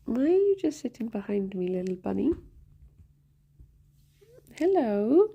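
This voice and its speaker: Chatty woman with vocal fry. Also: fairly high pitch, very animated, measured pace, mellow, dull audio. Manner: chatty and rambling, laughs often. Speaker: streamer reading chat. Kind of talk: casual live-stream chat.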